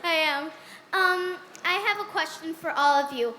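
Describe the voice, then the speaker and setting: A woman's voice: very high voice, normal speed, sharp tone, a little hiss or hum. A woman from the audience asking a question, nervous and stumbling over her words.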